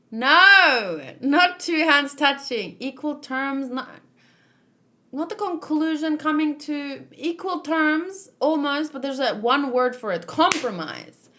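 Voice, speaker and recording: A woman's voice: sits high, expressive, slow pace, sharp tone. A woman giving casual, informal commentary as a read-along host, thinking aloud and searching for her words as she goes.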